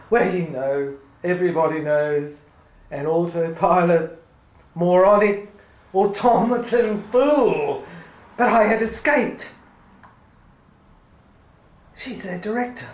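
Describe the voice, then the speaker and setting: A woman delivering a dramatic spoken-word monologue in halting, fragmented phrases that often repeat. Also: lowish voice. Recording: echoey room.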